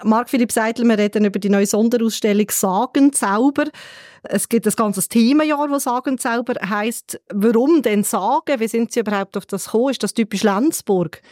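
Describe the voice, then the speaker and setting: A woman's voice: a little high, moderate pace, darkish tone, no room sound, clean studio sound. A woman with a Swiss German accent asking questions as a radio interviewer.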